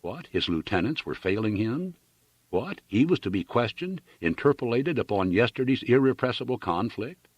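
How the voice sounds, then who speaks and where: A man reading literary fiction aloud as an audiobook, in a dramatic, measured and emphatic storytelling delivery. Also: medium pitch, very animated, husky. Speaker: audiobook reader. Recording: dull lo-fi, roomy sound.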